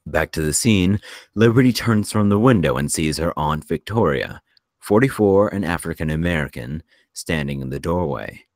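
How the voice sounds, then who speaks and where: A man's voice: deep voice, expressive, moderate pace, no echo, studio quality. A man reading screenplay stage directions aloud as scripted narration. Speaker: screenplay narrator at a table read.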